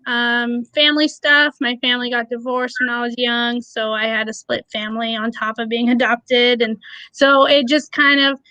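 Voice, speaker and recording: A woman's voice: on the high side, flat delivery, normal speed, sharp tone, clean tone, no room sound, low-quality recording. A woman in her thirties, a guest on an interview, speaking openly and reflectively with no accent. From born in a Russian-speaking country, adopted to the US at six. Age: 30s. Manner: open, reflective, light nervous laughs. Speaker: guest, preschool educator and aspiring life coach. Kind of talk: candid personal interview.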